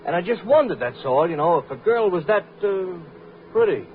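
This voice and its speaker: A man acting a wistful, smitten, hesitant sidekick in 1950s radio style, halting and trailing off, on an old recording. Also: high-pitched, big pitch swings. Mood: smitten, sheepish. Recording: tinny, faintly noisy old radio recording.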